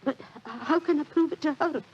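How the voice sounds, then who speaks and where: An actress playing a humble, kindly old British landlady, husky, deferential and hesitant, in 1940s radio drama style. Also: sits high, fairly quick, close to an everyday voice. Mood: anxious, earnest. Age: older. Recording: dull 1940s radio recording.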